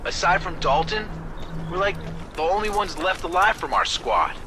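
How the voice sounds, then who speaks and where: Wisecracking male mercenary, jokey and complaining, high and bouncy delivery, game cutscene. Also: lively intonation, normal speed, noisy background. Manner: joking, complaining, sarcastic. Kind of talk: video game cutscene acting.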